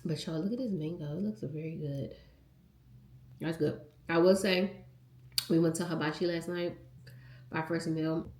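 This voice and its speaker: A woman's voice: low-pitched, fairly even intonation, moderate pace, long pauses, a little mellow, reverberant. A young woman with a stuffed-up, nasal voice, chatting candidly to her phone camera in a travel vlog. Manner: candid, chatty, addresses viewers as y'all. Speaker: travel vlogger. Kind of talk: casual vlog chat to camera. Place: resort on vacation.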